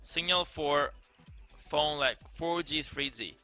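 Young greek guy streaming games, super bright voice, rambly and chill, says crazy a lot. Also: on the high side, slow pace, faint music behind. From Greece. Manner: loose and rambling, many fillers, keeps saying crazy. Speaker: game streamer. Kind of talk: casual live stream chat, reading viewer comments. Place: indoor room, seen on webcam.

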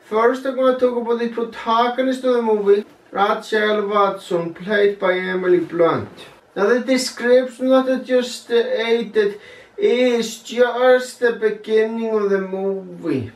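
Young man reviewing films in an echoey room. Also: extremely high pitch. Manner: blunt, swears freely, measured delivery. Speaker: movie reviewer. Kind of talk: casual spoken film review. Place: small plain room indoors, seated. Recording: roomy, mic at a distance.

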